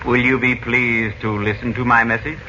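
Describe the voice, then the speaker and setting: A man's voice: medium pitch, little pitch movement, moderate pace, pushed and bright, close to an everyday voice. Man playing servile menacing desert messenger, formal and insinuating, piercing tense tone, muffled old radio drama. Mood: ominous, formal. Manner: formal, ingratiating, veiled threats. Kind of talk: 1940s radio serial acting. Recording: dull lo-fi old radio, faint noise.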